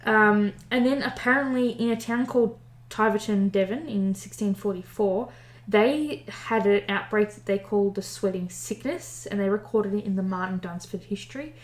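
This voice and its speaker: A woman's voice: mid-range voice, normal speed, roomy sound, poor audio. A young woman co-hosting a casual podcast, reading history notes aloud, stumbling over the old wording and poking fun at herself. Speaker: podcast co-host.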